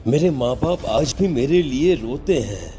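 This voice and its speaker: A man's voice: medium pitch, expressive, measured pace, dark tone, faint music behind, natural voice. A man voicing the sorrowful, reproachful ghost of a teenage boy in a dramatized horror story. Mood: bitter reproach. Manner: mournful, reproachful.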